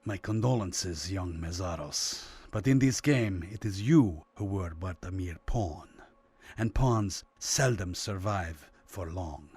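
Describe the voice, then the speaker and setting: A man playing a coolly ruthless Soviet spy in a radio drama, speaking with formal, measured menace. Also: low voice, darkish tone, slightly rough, full character voice. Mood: cold menace. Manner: measured, ominously formal, mock-sympathetic.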